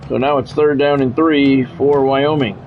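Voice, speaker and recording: A man's voice: medium pitch, steady tone, a little slow, lo-fi sound, slight background noise. An American man doing football play-by-play on a gaming stream, mixed with casual chat. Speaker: gaming streamer calling play-by-play of own game; former radio sports play-by-play announcer.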